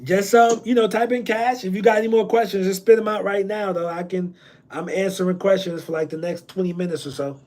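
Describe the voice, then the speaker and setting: A man's voice: high-pitched, fairly even intonation, brisk, a little mellow. A man from New Jersey hosting a loose livestream Q&A on real estate, slangy and repeating himself with many ums. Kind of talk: casual livestream Q&A.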